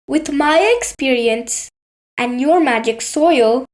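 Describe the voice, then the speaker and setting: A woman's voice: high-pitched, takes it slowly. A woman voicing a male Arctic fox, a desperate former farmer in a children's storybook, with a polite, pleading manner. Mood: persuasive.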